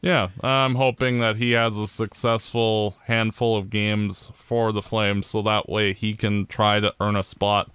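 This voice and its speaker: A man co-hosting a casual sports podcast, talking in a gloomy, measured way. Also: lowish voice, little pitch movement, fairly bright tone, clear, resonant tone, no echo. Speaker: podcast co-host.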